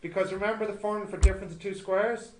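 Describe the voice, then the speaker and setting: High-voiced man tutoring maths, echoey room. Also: steady tone, very fast. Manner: self-deprecating, asks checking questions. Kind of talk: informal tutorial, thinking aloud while working sums. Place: indoor tutorial room with students. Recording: echoey room with noticeable noise.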